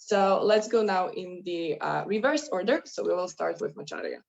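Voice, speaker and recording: Lowish halting Polish woman moderator. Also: lively intonation, gravelly, highs cut off. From Poland. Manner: halting, searching for words. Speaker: panel moderator. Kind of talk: moderating a panel discussion.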